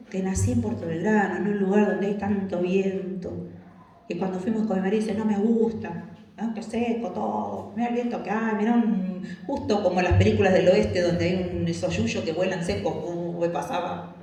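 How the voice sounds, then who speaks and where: Argentine lady preacher, acts out little scenes, warm voice, big churchy echo. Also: medium pitch. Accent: Rioplatense Spanish. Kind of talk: sermon. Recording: echoey church hall.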